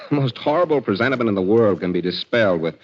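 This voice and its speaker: A suave young man about town played by a male actor in a 1940s radio drama. His fairly low voice moves animatedly as he teases and reassures with breezy confidence. The old recording is muffled and slightly noisy. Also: expressive, fast talker, natural voice. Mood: dismissive, reassuring. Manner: breezy, teasing, self-assured.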